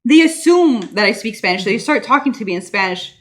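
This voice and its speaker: A woman's voice: a little high, lively intonation, brisk. Woman chatting emphatically on a panel. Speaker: panel guest. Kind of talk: casual panel conversation.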